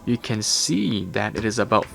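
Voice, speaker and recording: A man's voice: fairly low pitch, animated, normal speed, gravelly, soft background music. A man narrating a tech gadget review in an explanatory, step-by-step way. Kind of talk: product review voice-over.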